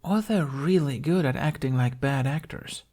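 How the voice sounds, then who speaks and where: A man voicing a wary, determined young woman with a hushed but firm manner in a story reading. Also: medium pitch, normal speed, voice pushed up, lightly acted. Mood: hushed, intrigued. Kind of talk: character voice in fan fiction reading.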